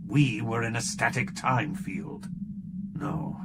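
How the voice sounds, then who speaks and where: A man's voice: medium pitch, slow, natural voice. A man voicing a stern, coldly logical male shapeshifter security chief who lectures in clipped deductions. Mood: lecturing. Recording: loud background noise.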